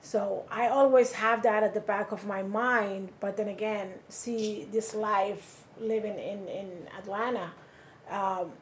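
A woman's voice: medium pitch, slow, slight background noise. Woman from Somalia telling her story. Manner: conversational storytelling, animated when quoting people. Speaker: interviewee. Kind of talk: oral history interview.

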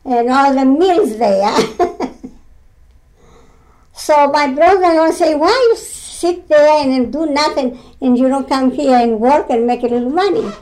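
Slow, halting Greek-accented woman in her nineties. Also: high-pitched, a little mellow, rough recording. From Greece. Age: 90s. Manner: halting, many fillers and restarts, simple non-native grammar, laughs often. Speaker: interviewee, retired mill weaver. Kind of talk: oral history interview. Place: her home.

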